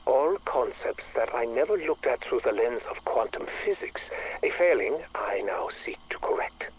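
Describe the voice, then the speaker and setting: Husky, brooding scientist. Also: male voice, medium pitch, lively intonation, poor audio. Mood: brooding, intent. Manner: formal, measured, terse. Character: obsessed, brooding male scientist recording private audio logs. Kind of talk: dramatic video game audio log acting.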